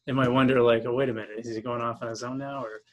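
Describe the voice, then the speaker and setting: A man's voice: mid-range voice, level tone, fast talker. A man in his forties hosting a podcast, asking questions and giving frequent affirming murmurs over a video call from a small room. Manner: attentive, lots of backchannel affirmations. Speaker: podcast host. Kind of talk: casual video-call interview. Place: small room with posters, indoors. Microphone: laptop webcam mic on video call.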